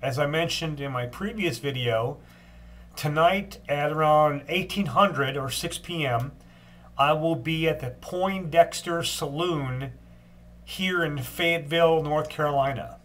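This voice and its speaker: An older man with a stuffed-up cold voice giving a casual daily video update, unhurried, with frequent ums and restarts. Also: on the high side, a bit bright. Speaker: long-distance walker giving a daily update.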